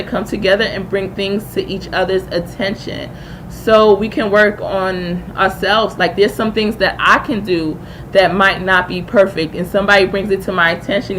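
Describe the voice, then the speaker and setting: A woman's voice: medium pitch, a bit bright, poor audio. Female livestream host, mid-range voice, casual and slangy, explains her opinions at length, audible background noise. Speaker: livestream host and YouTube creator. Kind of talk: casual live-stream commentary reacting to a video.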